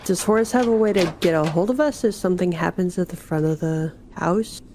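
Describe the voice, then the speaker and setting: A woman's voice: on the low side, brisk, darkish tone, a little hiss or hum. A woman playing a tabletop roleplaying game, chatting casually in and out of character.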